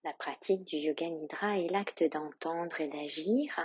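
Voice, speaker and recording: A woman yoga instructor leading a guided meditation in a calm, soothing, soft and breathy voice with long, measured pauses. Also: low voice, no echo, lo-fi sound.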